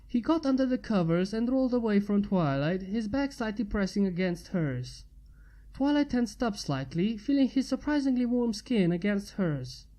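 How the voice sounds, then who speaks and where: A woman's voice: medium pitch, lively intonation, moderate pace, warm tone, rough recording. A woman reading a scripted story as its narrator. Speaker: fan fiction narrator.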